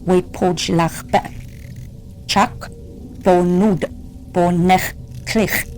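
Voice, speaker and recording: A woman acting in an audio drama, speaking in very slow, clipped lines. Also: on the low side, fairly even intonation, darkish tone, faint music behind, rough recording.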